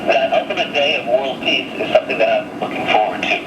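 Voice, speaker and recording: A man hosting a radio program, reading in a measured, clearly enunciated presenter's delivery over shortwave radio. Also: medium pitch. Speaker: radio host. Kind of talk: reading a listener's letter on air. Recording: noisy, echoey shortwave radio broadcast.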